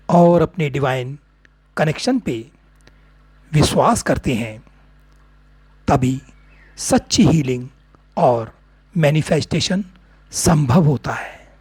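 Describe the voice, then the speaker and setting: A man with an Indian accent narrating a guided meditation in short, deliberate phrases with long pauses. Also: fairly high pitch, darkish tone, breathy edge, no echo.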